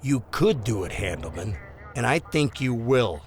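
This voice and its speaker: A man's voice: medium pitch, sing-song, unhurried, slight background noise. A man playing a corrupt, bullying policeman in an audio drama, mock-friendly and insinuating as he leans on people. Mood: threatening, insinuating. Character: corrupt small-town policeman, smooth, bullying blackmailer.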